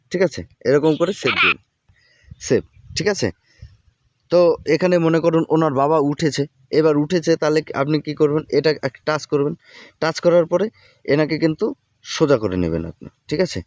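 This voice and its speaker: A man with a somewhat high-set voice hosts an app tutorial. He talks casually through each step and repeatedly checks in with the listener as he instructs. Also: darkish tone, no echo. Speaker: tutorial host.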